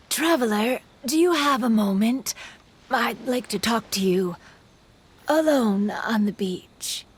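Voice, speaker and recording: An actress playing a gentle, wistful young female yokai in a video game, soft and earnest with hesitant delivery. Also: on the high side, expressive, slow, long pauses, slight background noise. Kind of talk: video game character acting. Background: game music.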